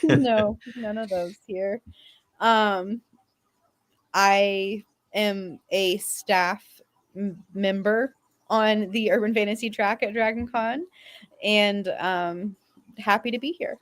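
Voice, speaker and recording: A woman's voice: medium pitch, fairly even intonation, slow pace. A woman chatting casually as a panelist and convention staffer. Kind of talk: casual chat.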